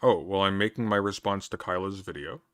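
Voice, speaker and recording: A man's voice: deep voice, fairly quick, a bit bright, no echo. A man in his thirties debating online, dry and dismissive, repeating himself when cut off. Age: 30s. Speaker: streamer in a debate.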